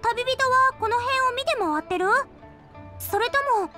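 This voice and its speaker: A woman's voice: extremely high pitch, expressive, a little slow, music in the background. A woman playing a kindly, cheerful teenage girl cook in an anime-style game, girlish, friendly and easygoing.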